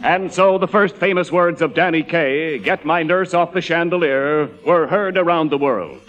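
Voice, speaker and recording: A man's voice: on the high side, normal speed, music in the background. Male narrator, American, comic radio storytelling, mock-grand, muffled vintage recording. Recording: dull old radio recording.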